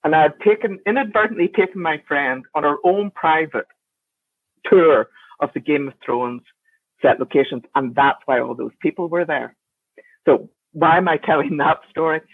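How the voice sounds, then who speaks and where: A woman's voice: deep voice, moderate pace, no echo. A woman with a Northern Irish accent, a guest telling a long, chatty story in an interview. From Northern Ireland. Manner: chatty and anecdotal, with frequent restarts. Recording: tinny phone-like line, dry.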